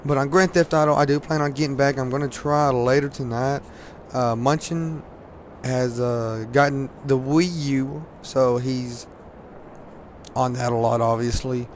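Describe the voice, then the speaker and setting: A hoarse teenage man from Louisiana, rambling casually with lots of fillers and laughs while commenting on a game at a desk mic. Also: medium pitch, fairly even intonation, unhurried, slight background noise. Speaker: gaming commentator.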